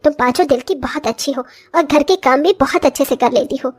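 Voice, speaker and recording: A woman voicing a short older village mother-in-law in a cartoon story, complaining and exclamatory but grudgingly soft-hearted. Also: high-pitched, brisk, lightly acted. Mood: warm. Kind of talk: Hindi cartoon story voice acting.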